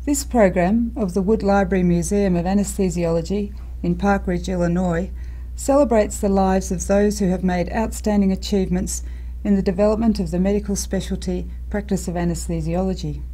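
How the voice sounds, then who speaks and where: Mellow woman hosting. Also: mid-range voice, steady tone, brisk, rough recording. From Australia. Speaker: interviewer, host. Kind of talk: scripted introduction, then interview questions.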